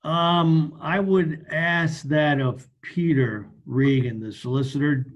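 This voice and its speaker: An American man giving informal, measured and somewhat halting explanations in an online meeting. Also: a little high, fairly even intonation, highs cut off. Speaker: committee member and meeting host. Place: remote video meeting.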